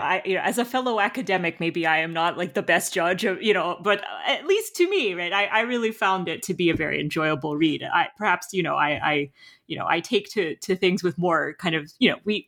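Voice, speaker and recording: Earnest academic woman hosting, low-ish voice, lots of "you know". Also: fairly bright tone. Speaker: academic interviewer. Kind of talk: academic interview.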